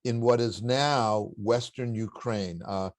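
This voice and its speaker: An older man with a baritone voice, a guest in an interview, telling family stories in a reflective, anecdotal way with occasional chuckles. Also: slow pace, no echo. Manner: warm anecdotal storytelling with chuckles. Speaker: interview guest, spiritual teacher.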